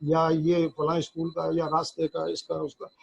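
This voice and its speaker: A man with a Pakistani accent giving a law lecture, halting and deliberate, reading formal text and then explaining it, repeating phrases as he goes. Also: on the high side, monotone, vocal fry, breathy edge, lo-fi sound. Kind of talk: lecture reading legal text aloud in English and explaining it in Urdu.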